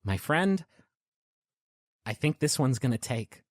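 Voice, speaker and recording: A man's voice: medium pitch, sing-song, takes it slowly, no room sound, clean studio sound, voice pushed up. A man voicing a kindly, reassuring male guide of souls in a tabletop game's afterlife. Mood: warm, wry. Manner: gentle, reassuring. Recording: prominent piano music underneath.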